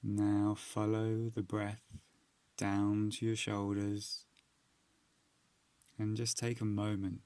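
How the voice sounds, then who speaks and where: A man narrating a guided meditation, giving soothing, measured instructions with long pauses. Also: lowish voice, monotone, darkish tone, clear, resonant tone, no echo.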